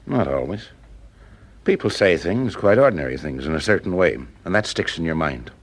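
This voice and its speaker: Low-voiced, wry private detective. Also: male voice, lively intonation, moderate pace. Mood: casual, thoughtful. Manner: hesitant, probing, dryly humorous. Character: wry, easygoing male private detective working undercover. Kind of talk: radio detective drama acting.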